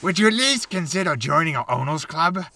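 A man's voice: on the high side, animated, fairly quick, creaky, sharp tone, no echo, far from the mic, exaggerated voice. An actor playing a smarmy, irritable male used-spaceship salesman in a sci-fi comedy audio drama. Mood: pushy.

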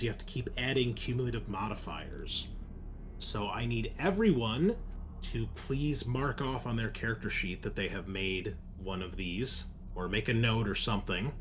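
Halting male game master, noisy background. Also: mid-range voice, very animated. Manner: halting, deliberate pauses, casual swearing. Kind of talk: casual narration of a tabletop roleplaying game.

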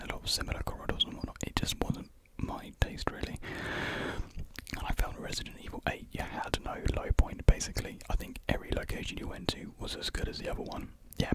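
A young man speaks in a breathy whisper close to the microphone, rambling softly and hesitantly in a casual ASMR chat. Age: young adult. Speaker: ASMR video creator. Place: small room with painted block walls. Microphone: large desktop USB condenser microphone, close up.

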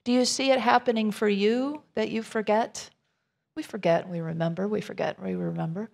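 A woman's voice: mid-range voice, fairly even intonation, normal speed, gravelly, a little mellow. A middle-aged woman minister reading a rhyming children's story aloud, expressively, with informal asides to her listeners.

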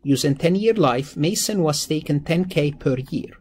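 Male lecturer, raspy and a little high in pitch. Explanatory walkthrough delivery, repeats key phrases, self-answered questions. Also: lively intonation, normal speed, a little mellow. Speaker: accounting lecturer. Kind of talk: step-by-step exam problem walkthrough, explanatory teaching. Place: indoors, voice-over on a screen-recorded slide lesson.

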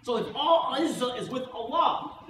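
A man's voice: very high-pitched, moderate pace, sharp tone. A middle-aged man, an imam giving an emphatic sermon, repeating key phrases for weight. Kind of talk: sermon, Friday khutbah. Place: mosque prayer hall, indoors at a lectern. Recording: echoey hall from far off, faint noise.

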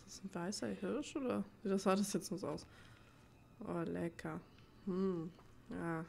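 A woman's voice: lowish voice, fairly even intonation, measured pace, creaky, mellow, slight background noise. A woman giving casual gameplay commentary in a chatty, playful way, muttering asides to her viewers. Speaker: let's play host. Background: video game ambience.

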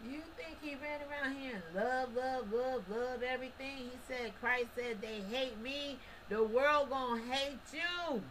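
A woman's voice: high voice, measured pace, few pauses, fairly bright tone, a little hiss or hum, poor audio. A woman giving a casual, preachy religious commentary monologue, talking straight to her listeners with emphatic rhetorical questions and colloquial asides. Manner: casual colloquial speech with restarts and short laughs.

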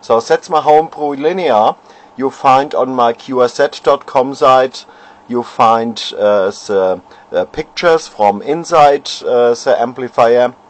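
A man, an amateur radio operator showing his homebrew gear at home, pausing often and searching for words. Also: mid-range voice. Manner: halting, many fillers, searching for words. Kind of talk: casual equipment demo. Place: home radio shack, indoors. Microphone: handheld camera.

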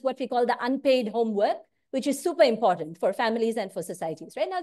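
A woman in her forties, originally from India, a managing partner speaking earnestly about her work, often ending points with "right?", recorded through a wired earphone mic on a video call from a room at home. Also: on the high side. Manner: earnest, animated, often tags lines with "right?". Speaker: consulting firm managing partner. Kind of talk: direct-to-camera talk on career and work.

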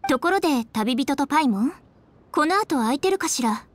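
A woman's voice: high-pitched, lively intonation, moderate pace, no echo. A woman voicing a proud, refined, dutiful young lady official in an anime game, with poised feminine speech. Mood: composed, earnest. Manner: poised, formal feminine speech, flustered when teased. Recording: faint music behind.

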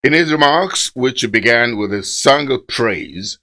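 A man reading a formal news voice-over in a measured way. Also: medium pitch, lively intonation, pushed and bright, dry recording. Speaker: news narrator.

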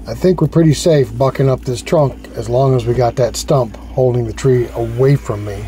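A man calmly explaining how to cut up a fallen tree, recorded outdoors in a forest on a camera mic. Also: medium pitch, moderate pace. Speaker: woodcutter host. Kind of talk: instructional outdoor narration.

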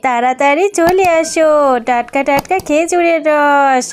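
A woman's voice: sits high, unhurried. A woman voicing a bossy, scheming female fox who sells juice in a cartoon, with a coaxing sing-song manner. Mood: hawking, sing-song. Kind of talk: cartoon voice acting.

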